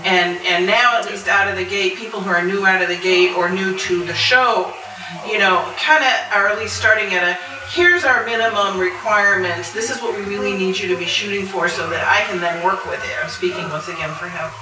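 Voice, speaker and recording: A middle-aged woman talks casually at a live panel with a wry, joking manner. Her mid-pitched voice comes from a little way off the mic, with strong room echo around it. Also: fairly quick. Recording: echoey, distant, noisy live room.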